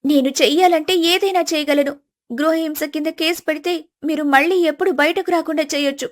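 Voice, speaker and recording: Young independent wife, played by a woman, high voice, measured, polite and resolute, storybook drama. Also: steady tone, lightly acted. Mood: firm, composed. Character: calm, firm, independent young married woman.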